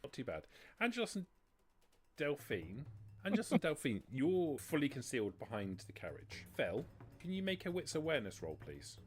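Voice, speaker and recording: A man working as a game master, narrating a tabletop roleplaying game in a descriptive, dramatic way with deliberate pauses. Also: mid-range voice, huge pitch swings, faint music behind. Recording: noticeable background noise.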